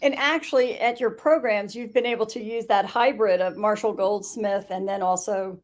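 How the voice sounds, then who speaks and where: A woman's voice: medium pitch, moderate pace. A woman hosting a live business interview, introducing her guest in a friendly, slightly hesitant way. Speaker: interview host. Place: video call.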